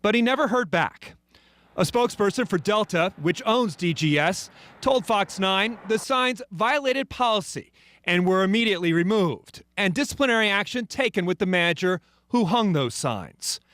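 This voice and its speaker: An American man delivers a scripted investigative news report. His voice is notably high for a man, in the manner of a TV reporter. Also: lively intonation, normal speed, fairly bright tone.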